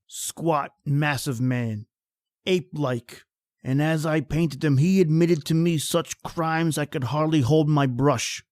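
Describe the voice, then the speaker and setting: An actor playing a wry, world-weary painter with a morbid wit, in a theatrical old-time radio horror style. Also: male voice, a little high, slow, a little mellow, no room sound, clean studio sound. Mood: ominous.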